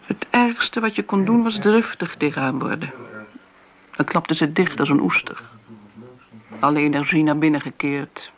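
An actress playing a disdainful older woman who reminisces briskly in a radio drama. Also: low voice, vocal fry, full character voice. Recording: dull, noisy old radio play recording.

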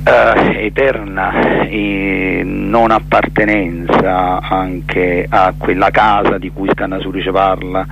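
A middle-aged man, speaking reflectively and haltingly as a theatre director interviewed over a telephone line. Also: low voice, little pitch movement, creaky. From Naples, Italy. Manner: reflective, halting, repeats and rephrases words while searching. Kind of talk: spontaneous phone interview. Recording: telephone line with faint hiss and hum.

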